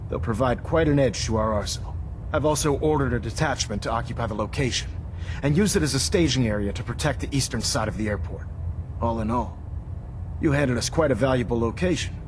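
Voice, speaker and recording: A man's voice: medium pitch, expressive, a little fast, natural voice. Guy doing a stern zealot army commander from a video game, formal speechy delivery, engine noise behind him. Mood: firm, formal. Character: stern zealous military commander of a post-apocalyptic order.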